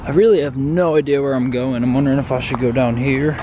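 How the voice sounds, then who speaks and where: A young man in his twenties talking casually to the camera as a vlogger, relaxed and unsure, with lots of fillers, recorded on a handheld phone. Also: mid-range voice, fast talker, highs cut off, background noise. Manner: relaxed, uncertain, many fillers. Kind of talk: casual vlog walkthrough. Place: wooded trail outdoors, then apartment living room.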